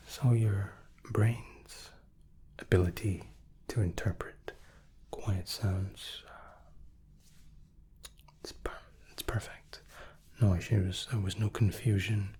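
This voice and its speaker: A man speaks softly as a reassuring examiner in an ASMR roleplay. His voice is low and slow, often dropping to a whisper, and he gently repeats his words. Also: steady tone. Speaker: ASMR host playing an examiner.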